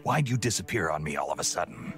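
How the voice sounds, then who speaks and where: Gruff, very deep-voiced soldier. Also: male voice, animated, fast. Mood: wounded, insistent. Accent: American. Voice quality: low gravelly growl. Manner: terse, dry. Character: gruff male American special-forces soldier, stoic, wry. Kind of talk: video game voice acting. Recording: game audio with loud music behind.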